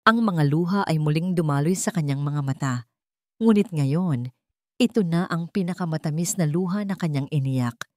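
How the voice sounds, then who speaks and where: A Filipino woman tells a scripted drama story. Her voice sits low for a woman, and the recording is dry and close, with no room around it. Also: mellow, dry recording.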